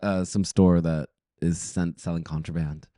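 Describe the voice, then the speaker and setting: A man's voice: low voice, flat delivery, measured pace, dark tone, no echo, clean studio sound. A man chatting casually as a podcast guest, campy, gossipy and sweary, with a voice coming off a cold. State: getting over a cold.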